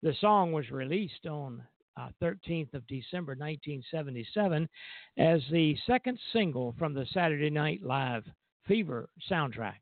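A man hosting a music radio show, reading history notes aloud in a halting way and stumbling now and then. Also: fairly high pitch, expressive, no room sound. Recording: tinny narrow phone-line sound.

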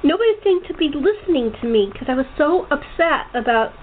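A woman hosting a casual interview chat, talking in a halting, rambling way with repeated words. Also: high voice, animated. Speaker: radio show host and voice actor. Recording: tinny phone line with clear noise.

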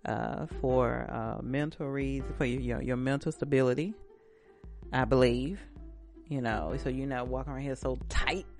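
A woman's voice: a bass voice, moderate pace, darkish tone, soft background music. A woman hosting a casual self-care talk, chatting directly to her listeners in an encouraging, repetitive way, a little stuffy-nosed from allergies. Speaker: host.

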